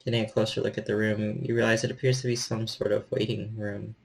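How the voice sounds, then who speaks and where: Creaky-voiced man narrating evenly. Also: fairly low pitch, flat delivery, a little hiss or hum. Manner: measured, descriptive narration. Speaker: storyteller, game master. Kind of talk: narrating a horror role-playing game.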